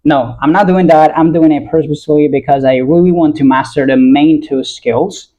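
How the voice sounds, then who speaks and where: A man's voice: on the high side, steady tone, normal speed, warm tone, muffled recording. A young man with an American accent talking casually and earnestly to his viewers in a rambling, self-correcting monologue. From Italy. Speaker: YouTube vlogger.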